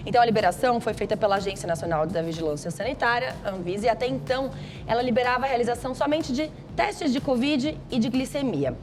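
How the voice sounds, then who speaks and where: Quick-talking Brazilian woman presenting morning news. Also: on the high side, soft background music. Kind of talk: conversational news reading. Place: television studio.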